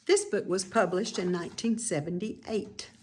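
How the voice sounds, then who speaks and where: A woman reading a children's picture book aloud in an expressive, teacherly way, with informal explanatory asides to young listeners. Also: medium pitch, normal speed, a little hiss or hum.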